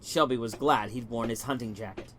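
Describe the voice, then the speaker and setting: A man reading a short story as scripted narration, in a measured, dramatic storytelling manner. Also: medium pitch, steady tone, faint music behind. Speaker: story reader.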